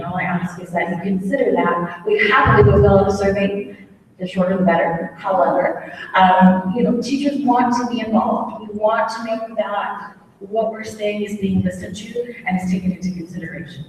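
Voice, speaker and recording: A woman's voice: medium pitch, a little mellow, a little hiss or hum. Earnest woman teacher in an echoey hall. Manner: earnest, measured, many um fillers. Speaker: fifth-grade teacher. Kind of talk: public comment at a town hall. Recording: echoey hall, mic far off.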